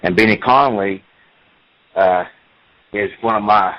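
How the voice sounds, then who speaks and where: A man's voice: fairly low pitch, a little slow, highs cut off. A man in his forties, a professional wrestler, talking at length in an interview, repeating himself as he tells his story. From United States. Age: 40s.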